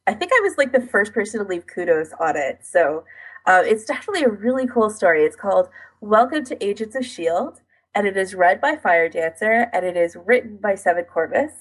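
A woman podcast co-host with a husky, slightly rough voice. She talks in a casual, informal way with lots of "like", as in a chat between hobbyists. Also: medium pitch, expressive, brisk, rough recording. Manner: informal, frequent "like" as filler.

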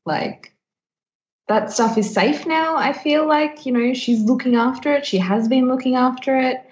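A woman's voice: high voice, measured pace. A woman talking frankly and emphatically as a podcast host in a casual chat, slightly muffled.

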